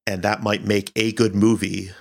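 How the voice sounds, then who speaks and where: A man's voice: on the low side, level tone, moderate pace, gravelly. A man answering interview questions as an audio director, speaking earnestly and thoughtfully, often searching for his words.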